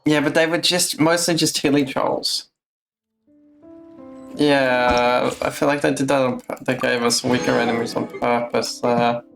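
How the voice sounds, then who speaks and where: Guy streaming a game, slow halting casual commentary, kinda muttering to himself, game music in back. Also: medium pitch, fairly even intonation, gravelly. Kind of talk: casual livestream gameplay commentary.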